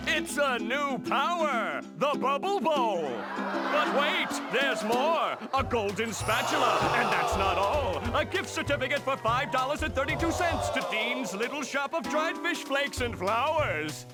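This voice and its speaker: A man's voice: very high-pitched, expressive, unhurried, over loud music. A man playing a showy ring and game-show announcer in a cartoon video game. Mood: hyped.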